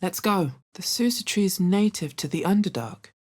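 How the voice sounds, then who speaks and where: An actress playing a cool-headed, pragmatic fantasy adventurer in a video game, with a low, measured, understated delivery. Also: female voice, lively intonation, darkish tone. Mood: resolute. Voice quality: low, bassy voice. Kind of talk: video game character voice lines.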